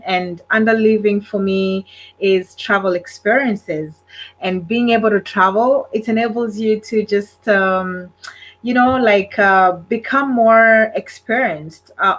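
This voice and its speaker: A woman's voice: medium pitch, a bit bright, rough recording. A Kenyan woman hosting a casual livestream, talking with many fillers and hesitations and speaking straight to her viewers. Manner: addresses live viewers directly. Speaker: livestream host. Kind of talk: casual solo livestream talk.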